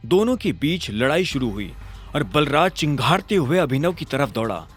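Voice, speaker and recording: A male narrator tells a dramatic scripted story in a fairly high voice. His delivery swings widely in pitch, and music plays behind him. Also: big pitch swings, normal speed, slightly rough.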